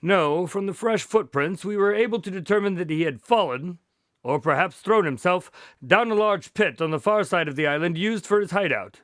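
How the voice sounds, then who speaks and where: Radio drama doctor male actor: measured, courteous, higher-pitched, dry close sound, formal storytelling. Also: lively intonation, fairly bright tone, no room sound. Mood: composed recounting. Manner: formal, precise phrasing.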